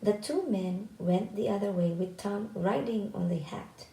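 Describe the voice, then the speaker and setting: A woman's voice: mid-range voice, measured pace, vocal fry, dark tone, reverberant, rough recording. A woman storyteller reading a children's tale aloud as scripted narration.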